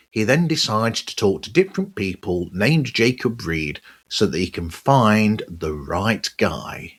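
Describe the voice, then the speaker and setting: A man hosting a podcast monologue, giving his opinions in a conversational, emphatic way with a husky voice. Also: fairly low pitch, a bit bright. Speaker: podcast host. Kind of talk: podcast monologue, part scripted, reviewing other shows.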